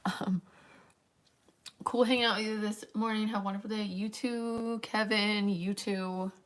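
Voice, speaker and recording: A young woman with a raspy voice, tired and a little worn at a late hour, chatting in a rambling, filler-heavy way as she answers her stream's chat. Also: medium pitch, steady tone, a little slow. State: tired, voice wearing thin late at night. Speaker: livestreamer. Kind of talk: late-night casual stream chat, reading chat aloud.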